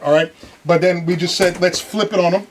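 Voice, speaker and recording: Middle-aged guy chatting in a studio, kinda high voice, keeps restarting, faint hiss behind. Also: fairly even intonation, brisk. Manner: hesitant, lots of restarts and "you know". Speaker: streetwear brand founder. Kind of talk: casual chat. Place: recording studio control room.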